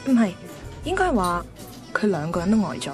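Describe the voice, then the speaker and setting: A woman's voice: medium pitch, very animated, normal speed, over music, natural voice. A woman with a Hong Kong Cantonese accent playing a picky, chatty host in her twenties, narrating a radio drama conversationally. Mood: storytelling. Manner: cheeky, self-assured, conversational.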